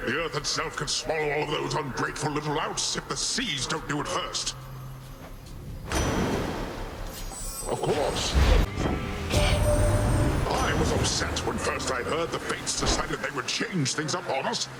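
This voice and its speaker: An actor playing a boisterous, pompous middle-aged sea god in a video game, with grandiose, theatrical delivery. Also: male voice, fairly high pitch, normal speed, loud music behind the voice, lightly acted. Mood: pompous, griping. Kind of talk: scripted video game character acting. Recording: voice under very loud noise.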